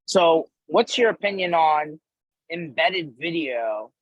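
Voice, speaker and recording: Husky, higher-voiced man hosting. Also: lively intonation, slow, no room sound, lo-fi sound. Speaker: host. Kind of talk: casual interview questioning.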